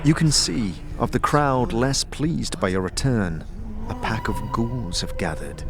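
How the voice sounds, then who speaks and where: A man giving scripted fantasy narration in a steady, second-person storytelling voice. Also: mid-range voice, unhurried, music in the background.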